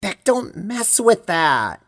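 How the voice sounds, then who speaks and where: A woman's voice: medium pitch, very animated, slow pace, fairly bright tone. A woman playing an anxious teenage girl who owns a dog, in an audio drama. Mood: firm.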